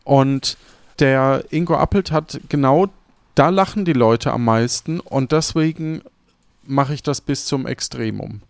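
A man hosting a casual podcast chat, speaking haltingly and repeating words as he searches for phrasing. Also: mid-range voice, gravelly, no room sound. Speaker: podcast host.

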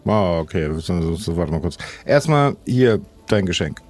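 A man's voice: low voice, lively intonation, normal speed, darkish tone, faint music behind. A man playing a polite, practical, slightly scatterbrained pirate in an improvised audio drama, casual in manner. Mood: casual, hesitant.

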